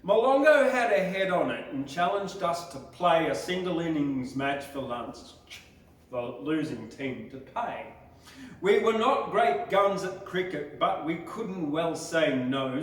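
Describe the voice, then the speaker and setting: Slow-paced man reciting in an echoey space. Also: fairly high pitch, animated. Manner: theatrical, deliberate storytelling delivery. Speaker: poetry reciter. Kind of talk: dramatic bush ballad recitation. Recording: very echoey, distant microphone.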